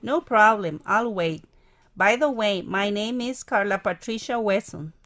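A woman playing a friendly twenty-seven-year-old working woman who spells words out clearly. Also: medium pitch, a little slow, a bit bright, lightly acted.

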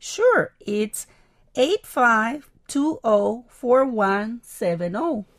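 A woman's voice: a little high, expressive, extremely slow, no room sound, clean studio sound. A woman playing a cheerful sixteen-year-old schoolgirl in a classroom role-play.

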